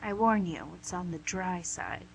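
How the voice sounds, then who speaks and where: A woman's voice: lowish voice, lively intonation, unhurried, creaky. A woman playing a suave female devil boss in an amateur radio comedy, pedantic, condescending and mock-polite. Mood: teasing, sly. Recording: amateur radio recording with hum, noise.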